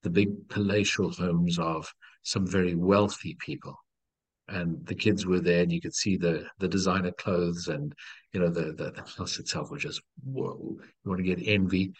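A man's voice: sits low, steady tone, normal speed, slightly rough, rough recording. A middle-aged man from South Africa, a life coach, telling stories in an earnest, encouraging way and repeating key phrases. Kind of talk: reflective storytelling in conversation.

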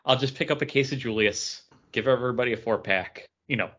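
A man's voice: medium pitch, fast talker, a bit bright, highs cut off. A man chatting casually as a podcast co-host, telling stories in a rambling, meandering way. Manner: rambling storyteller. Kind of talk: casual chat.

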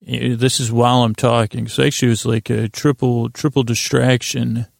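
A man with a gravelly voice hosting a bedtime podcast, rambling in a halting, repetitive way with frequent digressions and self-interruptions. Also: medium pitch, flat delivery, no echo, studio quality. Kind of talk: rambling, meandering bedtime monologue.

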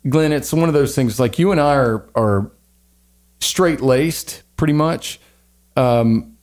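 Podcast co-host, male, mid pitch, faint Southern twang, earnest and rambling. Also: slow pace, a little mellow. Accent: light Southern American. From American South. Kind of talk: casual chat and spoken prayer.

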